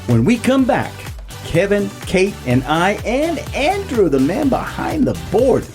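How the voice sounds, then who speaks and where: A man's voice: sits high, wildly swooping pitch, measured pace, a little mellow, soft background music. A man hosting a radio show in a casual, conversational way. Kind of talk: casual chat.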